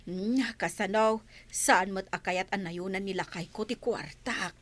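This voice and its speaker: A woman's voice: medium pitch, a little slow, lightly acted. An actress with an Ilocano Filipino accent playing a coaxing, insistent middle-aged village wife and mother in a radio drama. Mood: pleading, insistent. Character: wheedling, money-asking village wife and mother.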